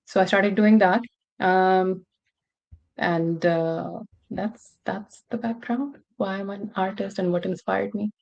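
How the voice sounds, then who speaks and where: A woman's voice: medium pitch, steady tone, slow pace, vocal fry, darkish tone, lo-fi sound. A young woman from Pakistan, a podcast guest telling her story in an earnest, reflective way. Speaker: guest musician. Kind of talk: podcast interview.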